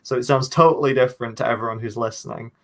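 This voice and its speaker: A man's voice: mid-range voice, steady tone, fast talker, fairly bright tone. A man video creator chatting casually on a panel, with a slightly nasal voice, stumbling over restarts and joking at his own expense. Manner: rambling, stumbling restarts, self-deprecating. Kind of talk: casual online panel chat.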